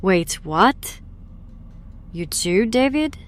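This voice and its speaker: A woman's voice: mid-range voice, lively intonation, drawn-out words. A woman acting in an audio drama as a guarded, wry young woman who knows occult rituals and explains them in an understated way. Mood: uneasy, grudging.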